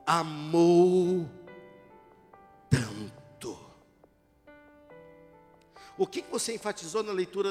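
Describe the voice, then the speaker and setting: A middle-aged man preaching a sermon to his church, dramatic, rising to shouts at the peaks and joking with the congregation. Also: high-pitched. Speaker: pastor. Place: church sanctuary. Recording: echoey church hall with music.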